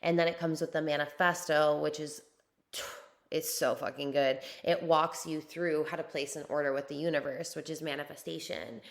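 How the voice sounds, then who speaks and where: A young woman hosting a podcast, talking casually and enthusiastically about her coaching program, her voice a little raspy from teaching, with lots of "like" and casual swearing. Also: deep voice, steady tone, normal speed. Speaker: podcast host and spiritual coach. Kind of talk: casual monologue promoting a course.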